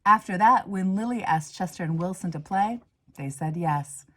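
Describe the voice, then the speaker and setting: A woman reading a children's storybook aloud in an expressive way, moving between hushed asides and emphatic swells. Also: on the low side, moderate pace. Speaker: reader, minister.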